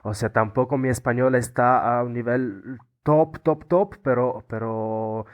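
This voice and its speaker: Italian young man speaking Spanish haltingly. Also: mid-range voice, steady tone, narrow, tinny audio. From Italy. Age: young adult. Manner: hesitant, many restarts and fillers, searching for words. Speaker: podcast guest. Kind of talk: casual interview chat.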